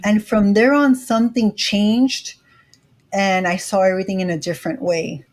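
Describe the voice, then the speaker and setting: Woman, 40s, Miami accent, earnest, casual interview guest, filler-heavy, mid pitch. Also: fairly even intonation, normal speed. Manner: earnest, frequent "you know" fillers. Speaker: interview guest, credit educator.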